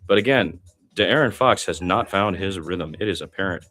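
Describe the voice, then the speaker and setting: A man's voice: deep voice, moderate pace, fairly bright tone, soft background music. A man giving informal basketball play-by-play commentary as an announcer, with chatty asides between calls.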